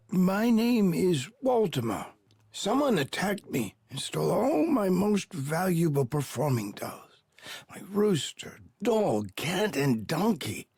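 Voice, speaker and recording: An actor playing a frail, weary old traveling puppeteer in a game cutscene, halting and pleading, with a husky, creaky voice. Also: male voice, high voice, darkish tone, dry recording, clean studio sound, heavily acted. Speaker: street performer character. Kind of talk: video game cutscene acting.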